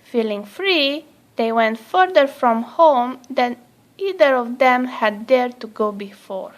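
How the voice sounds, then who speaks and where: High-voiced woman reading a storybook, unhurried. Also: expressive. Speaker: storybook reader. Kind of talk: read-aloud children's story narration.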